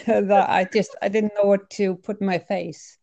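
A woman's voice: mid-range voice, gravelly, dark tone. A middle-aged woman from Iceland talking casually as an interview guest, choosing her words in a hesitant, reflective way. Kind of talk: casual conversational interview, personal anecdotes.

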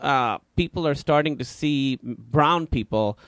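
A man's voice: medium pitch, a little slow, fairly bright tone, dry recording. A man in his thirties with a Pakistani accent, a comedian chatting as a podcast guest, rambling with many fillers and restarts. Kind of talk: casual interview chat.

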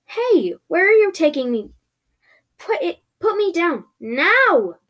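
A woman's voice: a little high, lively intonation, moderate pace, lightly acted. A woman voicing a feisty, sarcastic, exclamatory girl lost in another world in a fantasy story. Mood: defiant. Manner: exclamatory, indignant, sassy.

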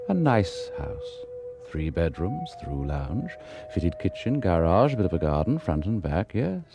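An actor playing a sinister, wry British storyteller, narrating radio horror with deliberate dramatic pauses and dry relish. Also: male voice, sits low, big pitch swings, creaky, over music, heavily acted. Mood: dryly sly.

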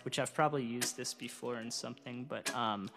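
A man's voice: mid-range voice, fairly even intonation, fairly quick, music in the background. A young man, a singer-songwriter guest, chatting loosely on a live stream call with many fillers and restarts. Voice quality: gravelly. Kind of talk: casual live-stream interview.

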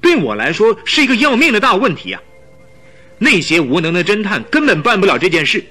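A man's voice: high-pitched, big pitch swings, a little fast, sharp tone, breathy edge, soft background music, exaggerated voice. An actor playing a proud, anxious young king in a radio drama, speaking emphatically and imperiously. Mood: urgent, indignant.

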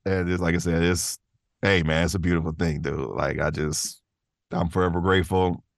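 Deep-voiced man chatting casually. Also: steady tone, moderate pace, creaky, no room sound, clean studio sound. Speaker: voice actor, podcast guest. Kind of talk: casual conversational interview. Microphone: close studio microphone in a vocal booth.

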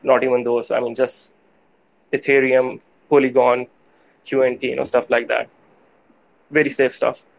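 A man with a Pakistani accent, speaking English as a second language, tells his story haltingly as a guest on a live audio show. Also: medium pitch, gravelly, low-quality recording. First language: not English. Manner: halting, hesitant, frequent hedging. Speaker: guest caller.

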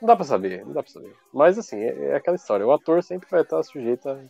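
A young Brazilian man who talks fast in slangy, profane banter during a casual podcast chat. The audio is a bit dull and lo-fi, with faint music underneath. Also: mid-range voice, big pitch swings, vocal fry, warm tone. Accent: Brazilian Portuguese. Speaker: podcast co-host.

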